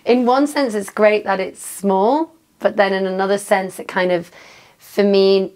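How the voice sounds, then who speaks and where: A woman's voice: medium pitch, fairly even intonation, measured pace, a little mellow. A young woman from Newcastle with a Geordie accent, answering interview questions in a casual, chatty way with lots of "um" and "like". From Newcastle, England. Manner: chatty, fillers like "um" and "like". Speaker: interview guest, DJ.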